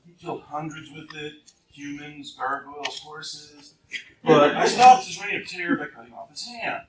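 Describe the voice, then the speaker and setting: A man's voice: fairly high pitch, expressive, normal speed, a crowd in the background. A man playing a gargoyle hero who tells of old battles in a casual way, in a live radio play. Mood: wry storytelling. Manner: casual storytelling. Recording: roomy, noisy, distant live recording.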